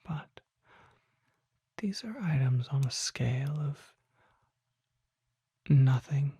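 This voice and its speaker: A performer voicing a refined, mysterious vampire shopkeeper in a soft, breathy ASMR whisper, speaking formally with deliberate pauses. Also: medium pitch, expressive, no echo, studio quality, heavily acted. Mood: hushed mystery. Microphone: close microphone.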